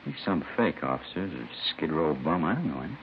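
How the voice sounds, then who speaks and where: Evasive accused husband, American man, low voice, defensive, vintage radio drama, narrow hissy recording. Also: sing-song, fairly quick, full character voice. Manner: defensive, dismissive denials. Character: indignant, evasive husband accused of arranging murder. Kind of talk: 1950s radio drama acting.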